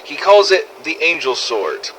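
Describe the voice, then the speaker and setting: Man playing a sardonic zombie survivor, animated and bright. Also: fairly high pitch, measured pace, a little hiss or hum, pitched above the performer's own voice, rough recording. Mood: satisfied. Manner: sardonic, exasperated, swearing. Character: weary, sarcastic male survivor of a zombie outbreak. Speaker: narrator of fan-made game audio logs. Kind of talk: first-person scripted audio log narration.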